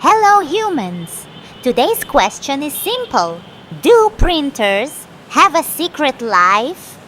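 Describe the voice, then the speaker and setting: Woman as Max, a high, swooping puppet interviewer. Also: sing-song, takes it slowly, a bit bright, a little hiss or hum, exaggerated voice, low-quality recording. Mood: eager. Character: eager megaphone puppet street interviewer named Max. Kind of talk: children's puppet show presenting.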